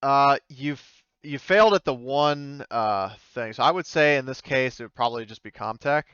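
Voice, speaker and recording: A man's voice: medium pitch, normal speed, a bit bright, clear, resonant tone, dry recording, muffled recording. A man working as game master, improvising narration for a tabletop roleplaying game and rephrasing as he explains, tentatively.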